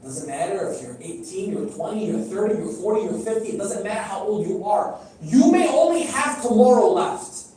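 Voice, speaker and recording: A man's voice: high-pitched, animated, normal speed, mellow, slight background noise. A middle-aged man whose first language is Arabic, preaching a sermon and speaking directly to the audience, asking them questions and repeating phrases for emphasis. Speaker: speaker at a religious gathering. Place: large hall. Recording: echoey hall, heard from a distance.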